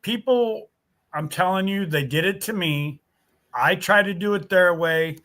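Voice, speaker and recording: A man's voice: high voice, unhurried, sharp tone. A man speaking emphatically and directly to listeners in a casual, motivational livestream chat. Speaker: YouTube creator, livestream co-speaker.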